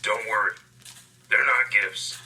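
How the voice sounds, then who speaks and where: A man's voice: fairly low pitch, fairly even intonation, takes it slowly, pushed and bright, breathy edge, full character voice. A man playing a wry military frontline correspondent, giving a scripted field report in terse, dry-humored phrases.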